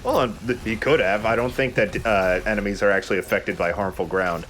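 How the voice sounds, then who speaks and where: Male let's play host, lower register, casual and explanatory, swears freely, faint noise behind. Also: brisk, a bit bright. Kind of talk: casual gameplay commentary.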